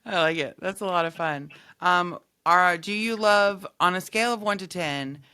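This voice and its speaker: A woman's voice: fairly low pitch, normal speed, bright, forceful tone, smooth. A woman hosting a podcast in casual comedic chat, with a playful, jokey manner and a readiness to laugh.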